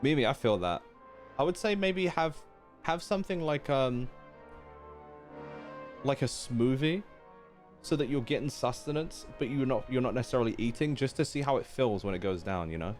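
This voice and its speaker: A man's voice: mid-range voice, animated, normal speed, long pauses. Casual male streamer over game music. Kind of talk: casual reaction commentary with slang.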